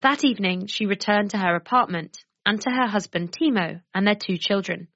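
A woman reporter and presenter narrating a documentary series in a measured, clear way. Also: medium pitch, fairly bright tone, dry recording, highs cut off. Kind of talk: scripted narration.

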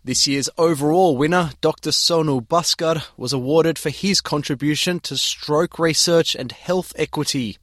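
A man narrating a news report in a measured delivery. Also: a little high, a bit bright, dry recording, studio quality.

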